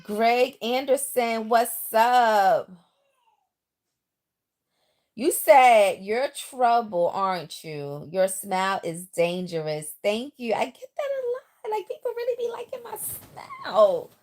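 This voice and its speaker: A woman's voice: a little high, slow. A middle-aged woman from the Bronx, now living in the South, chatting casually with viewers on a livestream and reading their comments aloud. Her New York accent has picked up some Southern twang. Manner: chatty, lively, talks straight to viewers. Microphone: phone.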